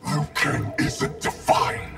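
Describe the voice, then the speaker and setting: A man voicing a cold, arrogant wraith ninja clan leader in a video game, gravelly, haughty and threatening. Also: slow, lightly acted. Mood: grim defiance. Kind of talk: video game character dialogue. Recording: music behind the voice.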